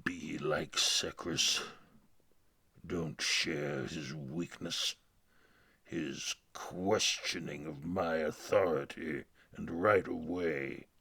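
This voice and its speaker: A man voicing an imperious, grandiose alien emperor, pompous and husky, in a dramatic game lore reading. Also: very deep voice, steady tone, very slow, bright, forceful tone, full character voice. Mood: commanding. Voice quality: gravelly, husky. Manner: pompous, ponderous, breathy.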